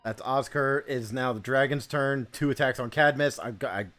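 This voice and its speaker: A man's voice: mid-range voice, fairly even intonation, moderate pace, a bit bright. A man speaking as game master in a live tabletop game, casual and exasperated, swearing as he banters. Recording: music behind the voice.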